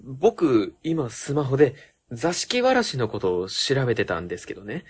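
A man's voice: medium pitch, very animated, moderate pace, husky. A man playing an earnest, anxious junior city official in a comedic radio drama, speaking formally. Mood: earnest worry.

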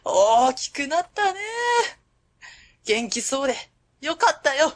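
Casting: actress for warm middle-aged female factory boss, higher-pitched, courteous and fond, radio drama. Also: animated, takes it slowly. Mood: fond, delighted. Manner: polite, hesitant openers, fond chuckles. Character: friendly middle-aged woman company president, warm and modest. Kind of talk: Japanese web radio drama acting.

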